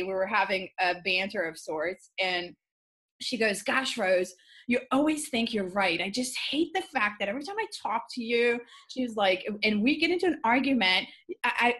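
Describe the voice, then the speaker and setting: A woman hosting a podcast, chatting casually and telling things in an animated, candid way. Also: a little high. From Albania. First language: Albanian. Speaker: podcast host. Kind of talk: casual conversational interview.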